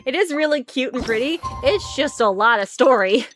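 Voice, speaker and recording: A woman's voice: sits high, lively intonation, moderate pace, a bit bright. A woman live-streaming a game, chatting and reacting casually as she plays. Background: game music.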